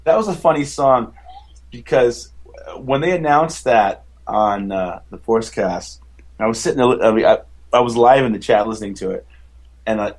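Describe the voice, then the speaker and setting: A man with a baritone voice, a musician chatting as a podcast guest, rambling enthusiastically with many fillers and restarts. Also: normal speed, a little mellow. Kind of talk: casual interview chat.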